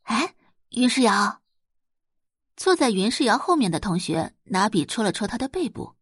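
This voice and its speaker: Woman reading a novel, lively expressive pitch, dry close recording. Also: a little fast, slightly rough, no echo. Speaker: narrator. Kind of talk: scripted audiobook narration.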